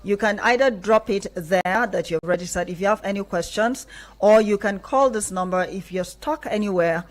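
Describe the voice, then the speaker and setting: A female radio presenter with a Nigerian accent speaks at a medium pitch. She hosts live from a studio, addressing her guests and her listeners directly. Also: moderate pace. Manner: affirming interjections while guests talk. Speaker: radio host.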